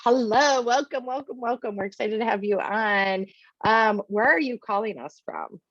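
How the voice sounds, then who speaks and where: A woman hosting a podcast who chats casually and reacts with loud exclamations. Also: on the high side, moderate pace, dry recording, highs cut off. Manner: chatty and exclamatory. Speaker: podcast host. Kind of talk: casual interview.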